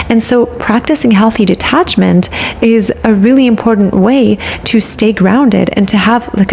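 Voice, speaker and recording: A woman with a stuffy, congested voice hosts a dating advice podcast, coaching her listeners with steady encouragement. Her mid-height voice carries a gravelly, fry-tinged edge, and a faint hiss sits under the recording. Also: mid-range voice. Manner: encouraging, coaching tone with repeated phrases. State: stuffy from a cold. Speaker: podcast host.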